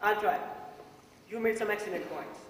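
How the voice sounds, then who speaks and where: A man playing a methodical juror in an amateur stage play, laying out his argument deliberately. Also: high-pitched, fairly bright tone. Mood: firm, methodical. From India. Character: methodical male juror arguing firmly for guilt. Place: theatre stage, recorded from the audience. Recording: noisy echoey hall, far from mic.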